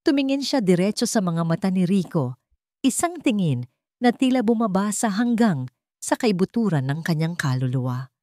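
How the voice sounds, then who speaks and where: A woman with a Filipino accent narrating a scripted drama story in a measured, suspenseful way. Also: mid-range voice, very animated, mellow, dry recording, clean studio sound.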